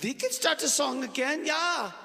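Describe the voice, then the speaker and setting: A man playing a fawning singer with a halting, pleading manner. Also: extremely high pitch, lively intonation, creaky, exaggerated voice. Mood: eager. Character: German male singer fawning over powerful men. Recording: long hall reverb, music behind.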